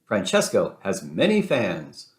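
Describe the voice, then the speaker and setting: A man's voice: deep voice, wildly swooping pitch, takes it slowly, pitched below the performer's own voice, exaggerated voice. A man voicing a boastful Italian race car in a children's storybook, with an Italian accent and a pompous manner. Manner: pompous, speaks of himself in third person. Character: boastful Italian male race car, grandly self-assured.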